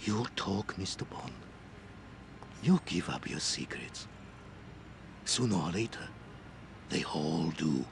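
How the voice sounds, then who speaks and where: A man's voice: deep voice, sing-song, takes it slowly, gravelly, long pauses, slight background noise, full character voice. A man playing a sadistic, gloating crime boss in a video game, menacing and theatrical as he savours his threats. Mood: menacing, savouring. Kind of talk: cinematic video game villain acting. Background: music.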